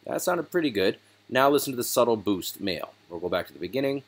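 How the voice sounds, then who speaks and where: A man's voice: medium pitch, fast talker. Regular guy doing a screen tutorial, laid back, bit of um and uh, mid voice. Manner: casual, relaxed explaining with fillers. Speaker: software tutorial host. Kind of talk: screen-recording tutorial voice-over.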